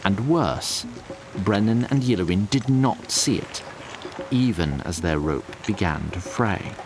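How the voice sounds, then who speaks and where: A man's voice: fairly low pitch, expressive, takes it slowly, music in the background. A man narrating a scripted audio drama in a wry, formal storybook manner, addressing the listener directly.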